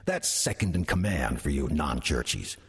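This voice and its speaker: An actor playing a sly, ancient demon posing as an old church usher, wry and sardonic, with an American accent, in an audio drama. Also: male voice, a bass voice, very animated, slightly rough, heavily acted. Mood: wry confiding. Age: older. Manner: wry, sardonic, deliberate storytelling. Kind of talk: audio drama first-person narration.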